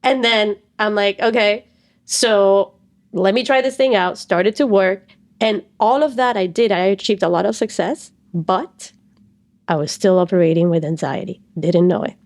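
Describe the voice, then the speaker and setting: A woman's voice: medium pitch, normal speed, a little mellow. A woman in her thirties from Cuba, a stress and anxiety coach, explaining her story earnestly as a podcast guest. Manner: earnest, explains at length with fillers. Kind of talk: reflective interview talk.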